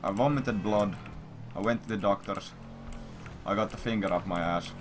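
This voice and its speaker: Noisy stream audio with keyboard clatter, and a man with a fairly low voice talking casually. He rambles like a streamer chatting to viewers, swearing freely and circling back to repeat his blunt remarks. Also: normal speed. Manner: profane, blunt, repetitive. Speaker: livestreamer.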